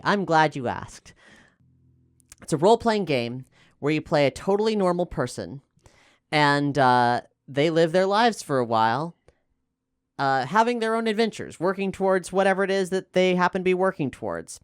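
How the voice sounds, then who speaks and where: Clear-voiced man hosting a casual podcast. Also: on the high side, big pitch swings, normal speed, no room sound, clean studio sound. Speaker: podcast host and player.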